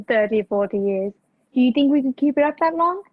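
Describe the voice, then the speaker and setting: A woman plays a spirited young radio-play heroine, quick to object. The voice is very high and swings widely, through dull video-call audio. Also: expressive. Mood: teasing, incredulous. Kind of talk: amateur radio play acting.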